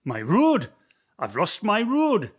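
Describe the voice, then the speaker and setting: A man's voice: high voice, wildly swooping pitch, takes it slowly, breathy edge, heavily acted. A man playing a cheery Northumbrian roadman ghost. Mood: warm, folksy. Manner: folksy, reciting verse, reassuring. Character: cheerful old roadman ghost, poetic, kindly. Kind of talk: community radio play acting.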